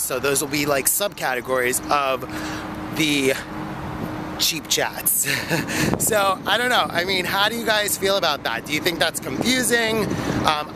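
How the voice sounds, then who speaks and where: A man in his thirties talks casually into a phone held at arm's length as he walks outdoors, his voice sitting a little high for a man. He rambles along with fillers and easy laughs. Loud street and traffic noise fills the background. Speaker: vlogger. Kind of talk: casual walking vlog. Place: outdoor park path near a street.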